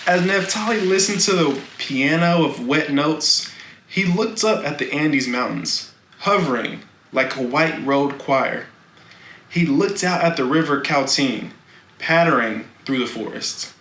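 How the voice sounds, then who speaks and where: A man narrating a children's novel in a deliberate, dramatic, expressive reading style. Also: on the high side. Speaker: storybook reader. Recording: echoey room, faint background noise.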